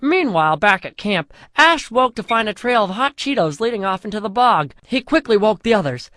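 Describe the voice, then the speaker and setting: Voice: medium pitch, sing-song, moderate pace, pushed and bright, dry recording, studio quality. A storyteller narrating a comic fantasy tale in a mock-solemn way.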